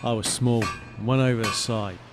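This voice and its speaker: A man voicing a wary, gruff sailor in a video game, with rough colloquial talk. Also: medium pitch, steady tone, normal speed, very noisy, close to an everyday voice. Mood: guarded, matter-of-fact. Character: gruff, wary male sailor, former cabin boy. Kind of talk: video game character dialogue.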